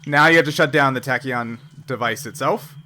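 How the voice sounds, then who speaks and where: Bright-voiced man running a tabletop game. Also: medium pitch, a little fast. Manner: hesitant, improvising explanations. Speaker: game master. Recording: clear background noise with ambience.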